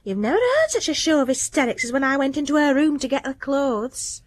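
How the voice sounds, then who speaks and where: An actress playing a put-upon, plain-spoken English housemaid in a radio drama, chatty in manner. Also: female voice, sits high, very animated, a little fast, no echo. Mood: gossipy, grumbling. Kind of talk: British radio drama acting.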